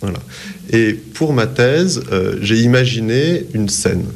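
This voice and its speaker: A man's voice: on the low side, animated, slow. A man in his forties from Paris, an author answering interview questions thoughtfully, often hesitating and restarting his sentences. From France, Paris. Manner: hesitant, searching for words with many restarts.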